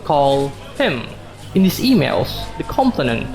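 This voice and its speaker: A man's voice: a little high, very animated, unhurried, a little mellow, faint music behind. Man acting a wordy, sardonic office worker, echoey. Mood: puzzled. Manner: wordy, bookish, dryly sardonic. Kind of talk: radio drama dialogue. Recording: echoey room sound.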